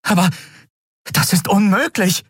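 A man voicing an agitated, fierce woman interrogator in an audiobook, forceful and exasperated. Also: mid-range voice, very animated, unhurried, breathy edge, no echo, studio quality, pitched above the performer's own voice, full character voice. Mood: alarmed.

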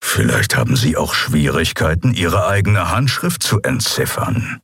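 Guy doing a growly fanged alien crew guy, sardonic n menacing, husky audiobook voice. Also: deep voice, sing-song, unhurried, a bit bright, dry recording, clean studio sound, full character voice. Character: menacing, growling hooded male alien crewman with fangs. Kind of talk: audiobook character voice.

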